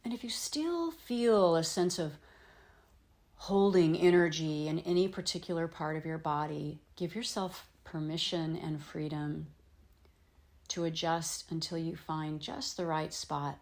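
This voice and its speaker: A woman with a low voice leads a guided relaxation as a yoga nidra teacher. She speaks very slowly and gently, leaving space between short, soothing phrases. Her delivery is unhurried instruction, made for meditation. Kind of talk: guided meditation, slow instruction with long pauses.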